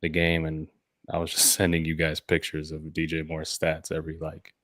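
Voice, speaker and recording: A man's voice: a bass voice, completely flat delivery, moderate pace, a bit bright, no echo, clean studio sound. A man hosting a sports podcast in casual chat, with a slight head cold in his voice.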